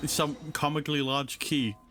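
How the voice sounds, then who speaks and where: A streamer giving casual, wry, halting live commentary over a video game. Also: medium pitch, steady tone, a bit bright, faint music behind.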